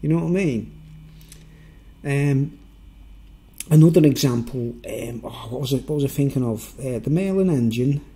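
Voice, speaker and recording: A man with a Merseyside accent talks off the cuff to his viewers. His voice is middling to slightly high and soft-edged, with little brightness. He wanders from point to point in an informal way, often checking that listeners follow. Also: normal speed, long pauses. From Wirral, north-west England. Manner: informal, digressive, seeks agreement with tag questions. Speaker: vlogger. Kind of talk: rambling, unscripted monologue to viewers.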